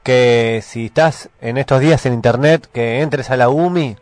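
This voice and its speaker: A man's voice: medium pitch, normal speed, darkish tone, no echo. A man with a Buenos Aires accent hosting a casual radio interview in conversational Spanish. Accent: Buenos Aires Argentine Spanish. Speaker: radio show host.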